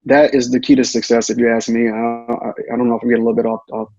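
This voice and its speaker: A man's voice: mid-range voice, flat delivery, rapid-fire, creaky, warm tone, dry recording, lo-fi sound. A man giving tips as an interview guest in a casual, repetitive way, often checking in with "right?". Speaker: guest, real estate investor.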